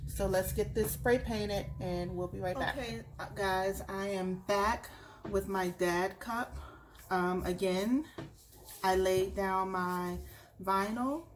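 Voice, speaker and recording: A woman's voice: medium pitch, slight background noise. A woman talking through a craft tutorial at home while she works, a bit sniffly from allergies. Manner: slow, relaxed, talks while working. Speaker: crafter showing a tumbler project.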